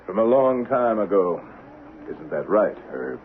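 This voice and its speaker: An actor playing a cool, calculating man out for revenge in the Old West, speaking with deliberate, dryly taunting control in a 1970s radio drama. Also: on the low side, big pitch swings. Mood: cold, controlled. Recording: narrow-band vintage radio recording with faint hiss.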